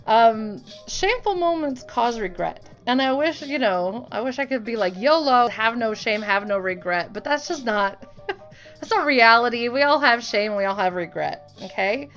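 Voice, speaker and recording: A woman's voice: fairly high pitch, expressive, moderate pace, fairly bright tone, lo-fi sound. A young adult woman speaking earnestly and conversationally, sharing informal reflections with her viewers. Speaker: online art channel host; teacher. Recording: voice with music behind. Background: music.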